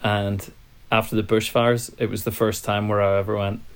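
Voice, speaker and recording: A man's voice: lowish voice, little pitch movement, moderate pace, smooth. A man from Ireland, co-hosting a podcast and casually quizzing his co-hosts in a blunt, chatty way. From Northern Ireland. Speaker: podcast co-host. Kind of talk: casual chat, interviewing co-hosts.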